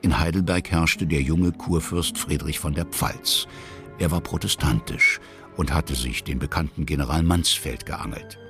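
A man narrating a historical radio play for children as a measured, explanatory storyteller. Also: a bit bright, faint music behind, exaggerated voice. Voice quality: very deep, gravelly.

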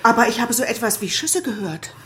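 An actress playing a concerned, deferential hospital nurse who speaks politely and hesitantly in a German radio crime drama. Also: female voice, fairly high pitch, big pitch swings, brisk, pushed and bright.

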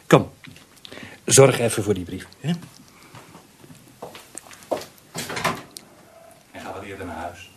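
An actor playing an avuncular, self-satisfied older man in a radio play, musing and patronising, with a husky voice. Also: low voice, normal speed, very noisy, close to an everyday voice. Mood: contented.

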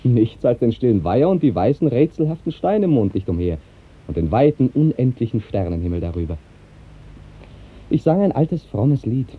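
An actor playing a devout, solemn minstrel poet in declamatory 1950s radio drama style. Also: male voice, mid-range voice, big pitch swings, moderate pace, breathy edge. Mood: fervent, reverent. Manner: declamatory, poetic phrasing. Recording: tinny, band-limited 1950s radio recording.

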